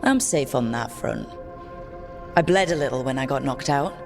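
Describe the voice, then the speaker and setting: An actor voicing a calm, wry elven leader in a fantasy video game, explaining things casually and steadily over music. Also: medium pitch, normal speed, gravelly. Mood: wry, serious. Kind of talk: video game cutscene dialogue.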